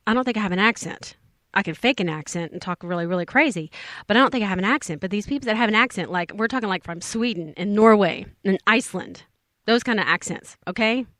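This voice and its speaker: A woman's voice: mid-range voice, fast talker, a bit bright, no echo, clean studio sound. A woman from Texas, hosting an indie radio show in bubbly, slangy, rambling chatter. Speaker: radio host.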